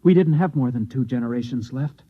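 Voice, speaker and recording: An actor in an old-time radio drama playing a frightened man who insists he is a Martian, agitated, halting and pleading. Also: mid-range voice, breathy edge, natural voice. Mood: grave, haunted. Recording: dull, lo-fi old radio recording.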